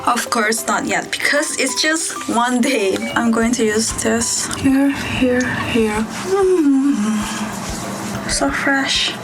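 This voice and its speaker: A young woman from Indonesia talks earnestly and slowly through her skincare steps. Her voice sits a little high, and clear music plays behind her. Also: lively intonation, rough recording. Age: young adult. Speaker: student vlogger. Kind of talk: casual skincare vlog.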